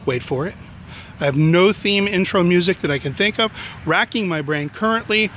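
Playful, mock-dramatic man in his forties, high for a man, handheld outdoor vlog with clear road noise behind. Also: normal speed, fairly bright tone. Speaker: vlog host. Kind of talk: jokey exploring vlog. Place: outdoors by a road, overcast and drizzly. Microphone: handheld camera at arm's length. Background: passing cars.

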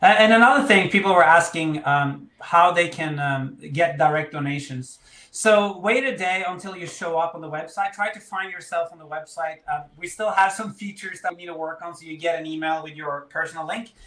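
A man with a Norwegian accent on a video call, hosting a webinar in a halting way with many fillers. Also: fairly high pitch, pushed and bright. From Norway. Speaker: webinar host. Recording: echoey room on a video call.

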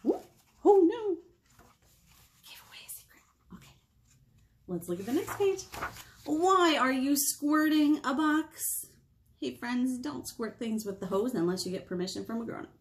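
Slow, animated woman reading to children. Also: high-pitched. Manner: animated, sing-song, with whispers and gasps. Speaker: children's librarian. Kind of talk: storytime read-aloud for children. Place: small room indoors.

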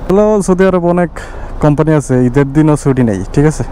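A man's voice: a little high, quick pace, dark tone. A man, vlogging casually from a motorbike at night and chatting in short questions. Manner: friendly, chatty, asks many short questions. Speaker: motovlogger. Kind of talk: casual vlog narration and chat. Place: outdoors at a petrol station and on a highway at night. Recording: faint traffic noise outdoors.

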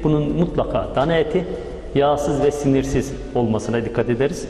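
A man's voice: mid-range voice, fairly quick, warm tone. A man in his fifties, a business owner, giving plain, brief answers as an interview guest. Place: indoor storeroom with metal shelves of pots. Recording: echoey reverberant room, music behind.

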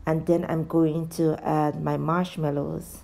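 A woman's voice: sits low, flat delivery, slow pace, gravelly, mellow. A woman giving a step-by-step cooking tutorial as a voice-over, addressing viewers directly as a home cooking host.

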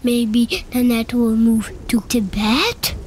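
A woman reading a children's picture book aloud in a slow, playful storybook manner. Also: fairly high pitch, expressive, a little hiss or hum. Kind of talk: children's read-aloud narration. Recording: faint music behind the voice.

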